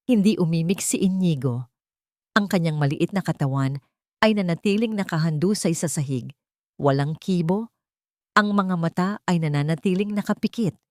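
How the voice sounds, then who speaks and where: A woman's voice: on the low side, no echo, clean studio sound. A woman reading scripted, dramatic story narration as an audiobook narrator.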